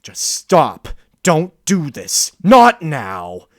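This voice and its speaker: A man's voice: lowish voice, expressive, drawn-out words, clear, resonant tone, no echo, clean studio sound. A man voicing a frightened stallion who pleads and begs while he struggles with a beast inside him. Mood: pleading. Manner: pleading, trembling. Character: frightened stallion fighting his inner beast.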